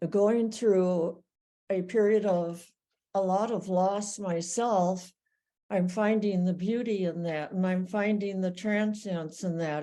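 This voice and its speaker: A woman in an audience giving reflective feedback in a measured, earnest way. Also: fairly low pitch, mellow. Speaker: audience member.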